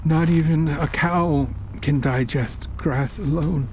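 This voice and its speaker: A man voicing a genteel, sinister older occultist in a horror story, measured, polite and condescending as he lectures. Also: on the high side, steady tone, a little mellow, a little hiss or hum, pitched above the performer's own voice. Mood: solemn lecturing. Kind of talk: horror story character dialogue.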